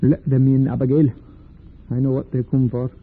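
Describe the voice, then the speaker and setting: A man's voice: medium pitch, flat delivery, moderate pace, natural voice. An actor playing a kindly but firm middle-aged Quaker father in old-time radio drama, using plain thee-and-thou speech. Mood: grave, resigned. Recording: muffled, noisy old radio recording.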